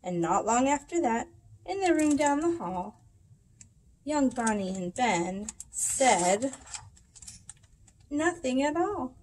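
A woman's voice: high-pitched, very animated, takes it slowly, pauses often, a little mellow, slight background noise. A woman in her thirties reading a rhyming picture book aloud expressively, with a sing-song delivery. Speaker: children's library storytime reader. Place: indoors in a library room.

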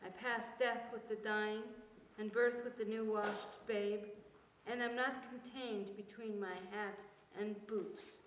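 A woman's voice: medium pitch, flat delivery, slow. A woman reciting poetry aloud at a reading. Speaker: poet reading at a public reading. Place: indoors in a church hall. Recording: distant, echoey hall, faint noise.

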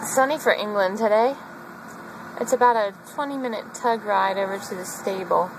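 A woman giving a casual, chatty live video diary in short offhand phrases as she travels as a horse groom. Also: normal speed. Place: airport tarmac and inside a cargo horse crate. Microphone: handheld camera mic held by the speaker. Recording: loud engine and vehicle rumble, handheld camera. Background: loud engines, vehicles and rolling cargo noise.

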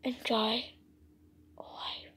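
A woman narrating video game role-play and reading aloud haltingly, often dropping into a whisper. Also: fairly bright tone. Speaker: gaming video maker.

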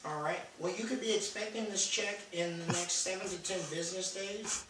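A middle-aged man playing a formal, official benefits agent in a halting phone manner for a prank call. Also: high-pitched, steady tone, natural voice. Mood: businesslike. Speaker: prank caller. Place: home kitchen and living room, indoors. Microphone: phone camera across the room. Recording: distant phone-camera recording, echoey room, noisy.

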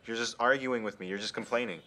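A man's voice: medium pitch, fast, bright, forceful tone, natural voice. A man acting a clueless, safety-fussy getaway driver in an amateur radio drama, hesitant and whiny. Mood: oblivious, whiny.

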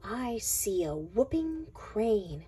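Very slow, sing-song woman reading to children. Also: mid-range voice, big pitch swings, warm tone, breathy edge, soft background music. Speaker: children's read-aloud narrator. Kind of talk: picture book read-aloud for young children.

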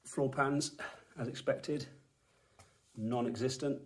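A man's voice: medium pitch, steady tone, slow. A man in his forties from England, giving matter-of-fact commentary with dry, understated remarks while filming a walkaround of an old car in an indoor garage workshop. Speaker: car restorer, channel host.

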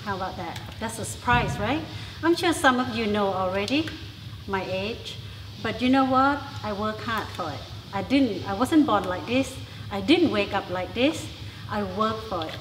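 A confident, chatty woman in her fifties from Thailand, with a Thai accent mixed with English and New York, talking casually to the camera in a large gym. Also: medium pitch. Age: 50s. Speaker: interviewee. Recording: echoey gym with reverb and noise.

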